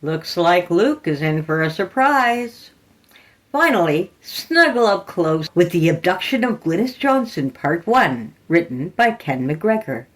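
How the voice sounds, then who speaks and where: A woman's voice: lowish voice, big pitch swings, a little slow. A woman reading scripted horror fiction as a podcast narrator, in a dramatic storytelling style. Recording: music behind the voice. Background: music.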